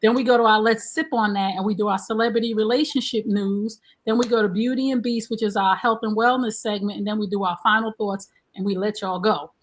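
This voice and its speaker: A woman, hosting a live-streamed relationship talk show in a chatty, animated way. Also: medium pitch, a little fast, hardly any pauses. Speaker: host.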